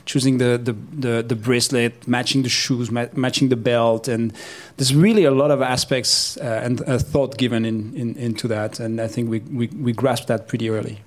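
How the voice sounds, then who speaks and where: A man from Switzerland answers as a guest in a live interview. His pitch is in the middle range, and he explains things haltingly, often repeating words. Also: flat delivery. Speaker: watch brand guest. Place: live event in a menswear store.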